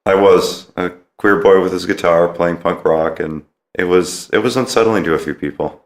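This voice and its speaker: A man's voice: deep voice, normal speed. A man hosting a podcast, interviewing his guest in a casual, conversational way. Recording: roomy, echoey sound.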